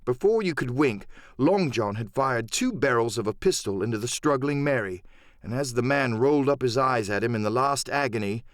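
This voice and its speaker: A man's voice: medium pitch, lively intonation, normal speed, no room sound. A man narrating an adventure novel in a dramatic first-person storytelling style. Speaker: audiobook narrator and voice actor.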